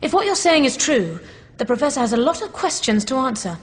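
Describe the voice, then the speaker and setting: A woman's voice: high voice, big pitch swings, brisk. A woman playing a stern lieutenant in a science fiction drama, clipped, authoritative and demanding. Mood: insistent. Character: brisk, stern female lieutenant of a fighting force. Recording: reverberant drama mix, music behind.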